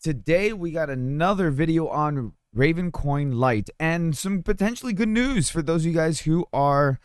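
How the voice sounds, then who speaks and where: Slightly hoarse man, casual chat. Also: a little high, animated, normal speed, no echo, studio quality. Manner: rambling, many fillers and restarts. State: slightly hoarse, sore throat. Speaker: crypto mining YouTuber. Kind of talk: casual screen-share commentary. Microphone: studio desk mic.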